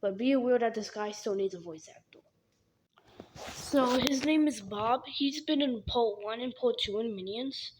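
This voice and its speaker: Young woman, slightly high pitch, halting delivery, frequent restarts, casual vlog. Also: slight background noise, poor audio. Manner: halting, repeats and restarts words. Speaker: animation creator.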